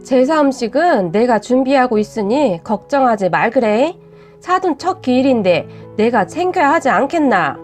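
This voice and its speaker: A woman voicing a blunt, gruff older mother-in-law in a Gyeongsang dialect, talking in a curt, scolding way. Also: on the high side, big pitch swings, moderate pace, a little mellow, faint music behind. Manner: curt, scolding, plain-spoken.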